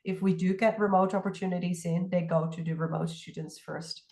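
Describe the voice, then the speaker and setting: A congested woman with a raspy voice, answering questions at length in an online info session. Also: lowish voice, steady tone, a little fast, a little mellow. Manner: talks at length in long explanatory runs. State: congested, hoarse from illness. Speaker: partnerships staff member.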